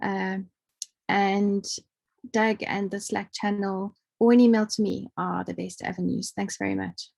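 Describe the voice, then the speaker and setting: Gravelly woman, dry close sound. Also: mid-range voice, level tone, a little slow, a little mellow, dry recording. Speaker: project team member. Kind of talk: online meeting remarks.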